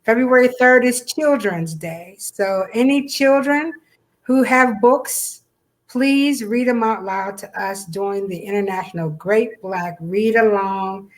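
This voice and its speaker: A woman's voice: mid-range voice, takes it slowly. Woman, co-host, casual conversation, chatty, encouraging. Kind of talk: casual chat promoting a reading event.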